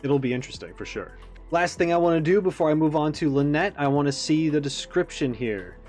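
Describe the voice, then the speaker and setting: A man's voice: a little high, lively intonation, a little fast, darkish tone, faint music behind. A man hosting a reaction video, speaking casually in rambling, enthusiastic analysis.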